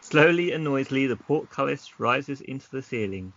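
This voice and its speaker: A man acting as game master, reading gamebook narration aloud in an unhurried way and mixing it with casual chat. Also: medium pitch, expressive, fairly bright tone. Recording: dull lo-fi recording.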